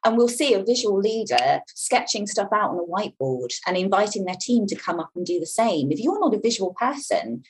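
Husky-voiced woman explaining communication. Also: mid-range voice, lively intonation, brisk, few pauses, low-quality recording. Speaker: communication coach. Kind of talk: interview talk, explaining.

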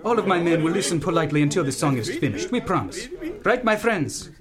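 A man's voice: on the high side, sing-song, quick pace. A man playing a menacing but grandly eager foreign militant leader in a comic radio play, with flowery, formal speech. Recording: music and crowd behind the voice.

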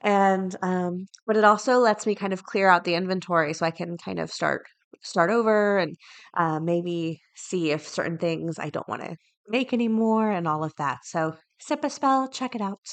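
Lower-pitched woman chatting on a podcast. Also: normal speed. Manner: chatty, conversational, informal asides. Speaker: podcast host. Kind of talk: casual solo podcast talk with news headlines read aloud.

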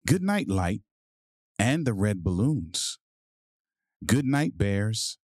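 A man hosting a podcast and reading a children's picture book aloud in a measured, deliberate bedtime-story delivery. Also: lowish voice, sing-song, darkish tone, dry recording, studio quality.

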